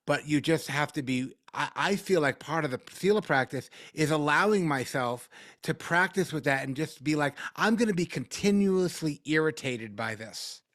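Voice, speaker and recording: A man's voice: medium pitch, a bit bright, no room sound, clean studio sound. A man who teaches meditation, answering questions in a conversational way, often repeating words and saying "like.". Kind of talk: casual teaching and Q&A.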